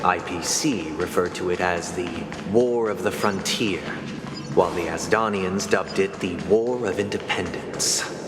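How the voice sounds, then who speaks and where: A pompous, courteous villain in a video game, presiding like a showman. His lowish voice is formal and measured. It rings with long reverb over loud music. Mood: solemn, composed. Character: courteous, theatrical villain host, pompous young man. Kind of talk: theatrical scripted game narration. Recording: echoey hall reverb under loud music.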